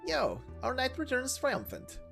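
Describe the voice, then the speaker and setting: Man putting on a high, cheeky young woman knight. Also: wildly swooping pitch, a little slow, vocal fry, a bit bright, faint music behind, heavily acted. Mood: playful. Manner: bouncy, playful, breezy. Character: lively, teasing young female knight, casual and cheeky. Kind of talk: voiced game story reading.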